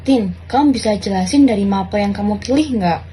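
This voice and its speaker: A woman's voice: mid-range voice, fairly quick, warm tone, a little hiss or hum. A teenage young woman with an Indonesian accent, presenting scripted explanations on a student podcast.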